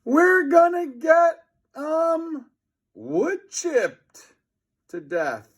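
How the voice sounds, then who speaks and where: Storybook boy in peril, done by a man: bossy, yelling, high and swooping. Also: sing-song, drawn-out words, exaggerated voice. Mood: dread. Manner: yelling, urgent commands and exclamations. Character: urgent, bossy young schoolboy in peril.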